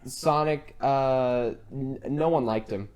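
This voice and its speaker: A man co-hosting a casual film discussion, talking in a halting, emphatic and opinionated way. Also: mid-range voice, muffled recording, a crowd in the background. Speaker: podcast co-host.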